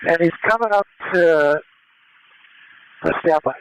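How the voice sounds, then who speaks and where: Very clear, higher-pitched man on police radio. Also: a little slow, no room sound, low-quality recording. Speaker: police helicopter observer calling a pursuit. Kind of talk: live police radio pursuit commentary. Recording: live police scanner radio transmission.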